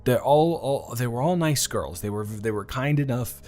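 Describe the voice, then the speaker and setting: Young man, stammering, flustered, overwhelmed, mid pitch, faint music behind. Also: expressive, normal speed, darkish tone. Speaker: voice actor in a romance audio drama. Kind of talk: one-sided audio roleplay to the listener.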